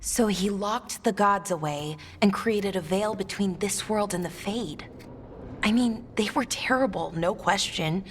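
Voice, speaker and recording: An actress playing a thoughtful, wounded elf in a fantasy game, serious and reflective. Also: female voice, mid-range voice, a little slow, natural voice. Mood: pained, indignant. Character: thoughtful, wounded female elf, protective of her culture. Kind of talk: video game cutscene dialogue.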